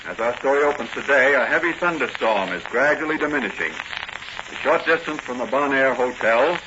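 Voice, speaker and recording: High-voiced vintage radio serial announcer, male. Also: noisy background. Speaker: announcer and narrator. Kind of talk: dramatic 1940s radio serial narration. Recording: dull old radio transcription with music.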